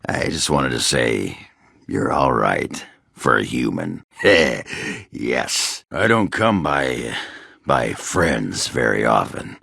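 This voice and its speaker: Game casting: boozy, blunt old-school dwarf brawler, raspy growl, slow drawl with big swings in pitch. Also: male voice, big pitch swings, bright, forceful tone, no echo, clean studio sound, heavily acted. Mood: gruff, fond. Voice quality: gravelly, rough. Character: gruff, boozy, crude male dwarf warrior. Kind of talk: video game character acting.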